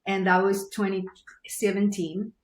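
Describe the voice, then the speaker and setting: A woman with a Venezuelan Spanish accent speaks as a guest in a casual podcast interview. Spanish is her first language, and she speaks earnestly, pausing to find her words. Her voice bounces around a reverberant room. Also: medium pitch, little pitch movement, darkish tone. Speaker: guest, journalist.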